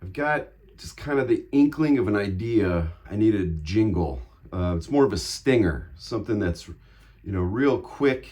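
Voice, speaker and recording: A man's voice: lowish voice, expressive, measured pace, darkish tone, husky. A man narrating a scripted art vlog as host, with casual asides and a theatrical, playful, self-interrupting manner.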